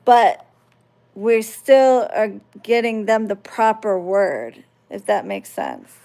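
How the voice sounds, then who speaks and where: A woman's voice: medium pitch, very animated, slow pace, dark tone. A woman from the United States, casually talking to her viewers and often checking that they follow along. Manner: conversational, checks listeners understand. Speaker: spiritual channel host.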